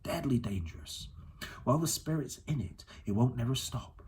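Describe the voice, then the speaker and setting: A man voicing a wise, wary old boatman in an audiobook, gruff but kindly, speaking in rustic dialect. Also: mid-range voice, animated, normal speed, darkish tone, slight background noise, natural voice. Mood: grave warning. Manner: rustic dialect with nonstandard grammar.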